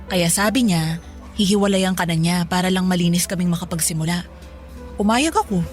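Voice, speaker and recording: A woman's voice: medium pitch, lightly acted. An actress playing a chatty young Filipino office woman, breezy and coy, mixing English into her talk in a radio drama. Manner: casual Taglish, breezy, coy.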